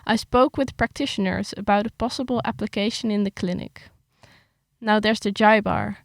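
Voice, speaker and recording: Young woman, writer reading her article. Gravelly edge, middle pitch. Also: no echo. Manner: hesitant with fillers when chatting, steady when reading. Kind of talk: casual chat, then reading an article aloud.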